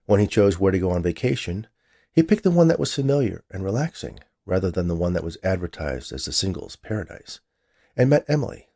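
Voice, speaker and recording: A man's voice: low-pitched, dark tone. A man reading a short story aloud as a scripted narration. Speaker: writer reading his own short story.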